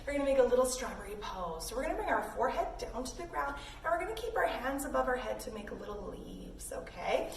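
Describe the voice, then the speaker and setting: A high-pitched woman teaches young children through playful movement games, encouraging them as she goes. She is recorded at a distance in a roomy space. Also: big pitch swings, fast, gravelly, few pauses. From Canada. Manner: encouraging, playful, with sound effects. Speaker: children's yoga instructor and host. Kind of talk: instructional play-along for young children. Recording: roomy, distant mic with faint noise.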